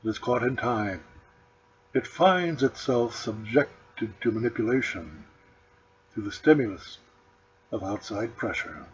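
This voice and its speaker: A man reading his own spiritual book as a scripted audiobook, with solemn, deliberate, measured phrasing and pauses. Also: on the low side, expressive. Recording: dull lo-fi recording with background noise.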